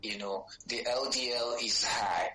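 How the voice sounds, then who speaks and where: A man's voice: mid-range voice, fairly even intonation, unhurried, pushed and bright, soft background music. A man with a Nigerian accent explaining health advice as a dietitian in an interview, teaching step by step and checking that he is followed. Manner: didactic, checks understanding with "okay" and "you know". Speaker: dietitian guest. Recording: roomy, echoey sound.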